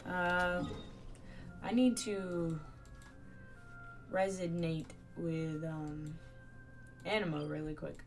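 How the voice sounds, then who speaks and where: Chill gamer girl streaming, lowish voice, slow and relaxed, talking to chat. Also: faint music behind. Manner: laid-back, reacting to chat. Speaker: game streamer. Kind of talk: casual live commentary while playing.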